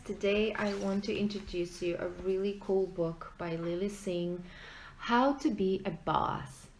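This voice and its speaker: Woman reading a self-help book out loud, mellow voice, chatty but punchy delivery. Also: mid-range voice, a little hiss or hum, low-quality recording. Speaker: book reader at a school read-aloud event.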